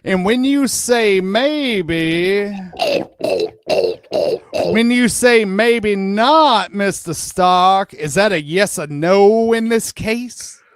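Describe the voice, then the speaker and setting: A man's voice: sits high, very slow, clear, resonant tone, dry recording, studio quality. A man playing a persistent, polite lawyer questioning a witness in a comic deposition reading, methodical and placating, repeating himself as he presses. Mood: probing.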